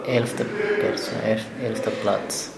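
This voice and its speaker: A young man from Afghanistan with an Afghan accent, answering interview questions in halting, broken German. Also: on the low side, little pitch movement, a loud crowd. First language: language of Afghanistan. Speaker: interviewee, asylum seeker and runner. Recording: echoey room with clear background noise.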